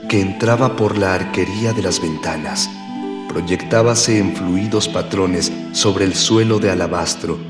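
A man's voice: on the low side, natural voice. A man playing a solemn, florid storyteller, narrating a pulp space radio serial in a pompous, old-fashioned way. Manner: pompous, archaic, florid phrasing. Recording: echoey reverb with soft music.

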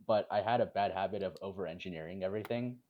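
A man of twenty in a casual group chat, telling a relaxed story and laughing often. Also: deep voice, fast talker, lo-fi sound.

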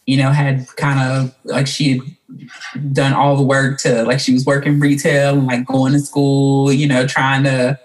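A woman's voice: extremely low pitch, completely flat delivery, measured pace, darkish tone, rough recording. A woman from Mississippi, talking reflectively and casually as a guest in an interview. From Mississippi, United States. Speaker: podcast guest, writer.

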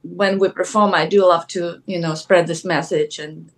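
Soft-spoken woman with a Ukrainian accent. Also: on the low side, fairly even intonation. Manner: soft-spoken, many "like" fillers, thoughtful pauses. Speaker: actress guest. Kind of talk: casual interview chat.

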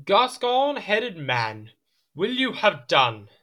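An imperious, irritable king with a fairly high, piercing voice. His pitch swings widely as he speaks with haughty, theatrical exasperation in a period audio drama. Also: wildly swooping pitch, takes it slowly, pushed and bright, exaggerated voice. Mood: petulant outrage.

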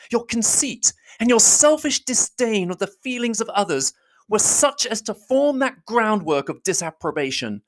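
A reader voicing an indignant, proud young woman who accuses in heated, rapid declamation. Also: medium pitch, voice pushed up, natural voice. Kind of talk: audiobook reading.

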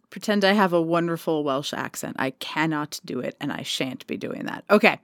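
A woman's voice: low-pitched, unhurried, pauses often, no echo, studio quality. A woman co-hosting a casual podcast, chatting and reading a ghost story aloud, swearing casually. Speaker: podcast co-host.

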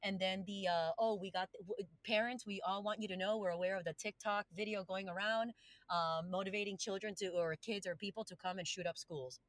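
A woman's voice: medium pitch, fairly even intonation, fairly quick, no echo, poor audio. A woman from Colombia whose first language is Spanish, speaking earnestly and encouragingly as a podcast interview guest.

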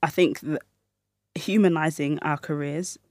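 A man with a fairly high voice speaks as an interviewer. His delivery is casual and reflective, with a supportive way of talking, and the sound is dry with no room echo. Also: steady tone, unhurried, darkish tone.

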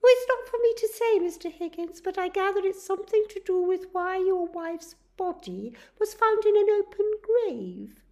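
A woman voicing a brisk, chirpy young nurse who fusses over a patient in a storybook reading. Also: very high voice, expressive, mellow, voice pushed up. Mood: apologetic.

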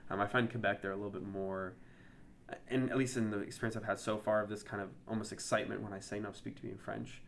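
A man's voice: on the low side, little pitch movement, fast, vocal fry, a little hiss or hum. A native English-speaking man talking candidly and thinking aloud in a casual interview. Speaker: interview guest. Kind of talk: casual conversation.

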